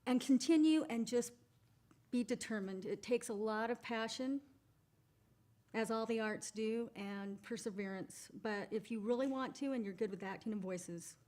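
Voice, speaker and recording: A chatty, upbeat woman talks as an interview guest, her voice sitting a bit high. She laughs easily and speaks in a relaxed conversational way. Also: normal speed. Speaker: voice actress guest. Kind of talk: casual interview chat.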